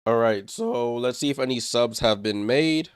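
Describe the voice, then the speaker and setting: A man's voice: medium pitch, moderate pace, clean tone, no echo, clean studio sound. A man hosting a live watchalong stream, calling the game in short clipped play-by-play bursts and chatting with viewers, a little under the weather and congested. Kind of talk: casual live play-by-play commentary.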